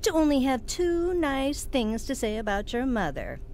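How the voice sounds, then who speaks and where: A woman's voice: high voice, sing-song, normal speed, a little mellow, slight background noise. A woman playing a cruel, angry mother in an audio drama, scolding and belittling with heavy sarcasm. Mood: mocking, sarcastic.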